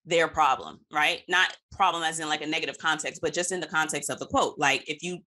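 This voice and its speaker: A woman's voice: low-pitched, fairly even intonation, fairly quick, bright, forceful tone. A woman hosting a podcast in casual chat, speaking informally and emphatically and swearing freely. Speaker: podcast host.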